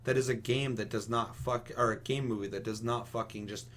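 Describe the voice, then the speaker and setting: A man's voice: mid-range voice, a little fast. Vehement man ranting casually, faint background hum. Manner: vehement, heavy swearing. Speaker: podcast co-host. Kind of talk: casual opinionated discussion. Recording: audible steady hum and noise.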